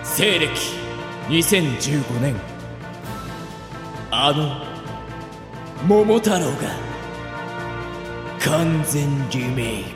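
A man's voice: on the high side, expressive, very slow, long pauses, reverberant, in a large hall. Man doing a dramatic male trailer voice, suspenseful, sweeping intonation, faint music. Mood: grandiose suspense. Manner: grandiose, suspenseful.

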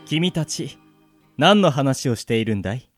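A man's voice: medium pitch, expressive, moderate pace, faint music behind, close to an everyday voice. A man playing a pompous, self-adoring legendary love evangelist, grandiose and theatrical, in a comic radio drama. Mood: smug.

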